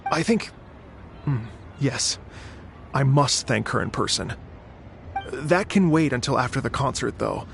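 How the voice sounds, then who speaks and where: A man's voice: medium pitch, lively intonation, measured pace, creaky, pauses often, noisy background. An actor playing an earnest, nervous male musician in a video game, hesitant and fretful. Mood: resolved. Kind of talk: video game character acting.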